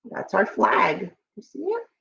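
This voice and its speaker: Animated woman reading aloud to children. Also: mid-range voice, measured pace, creaky, slightly rough, highs cut off. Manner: expressive, addresses young listeners directly. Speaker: school staff member reading a picture book to pupils. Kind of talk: children's read-aloud with asides.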